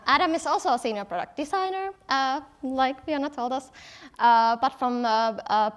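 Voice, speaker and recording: A woman whose first language is Finnish, giving a playful conference talk and leading the audience in a warm-up on stage. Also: a little high. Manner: playful, upbeat, instructing the audience. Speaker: conference speaker. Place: large conference hall on stage. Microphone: stage mic.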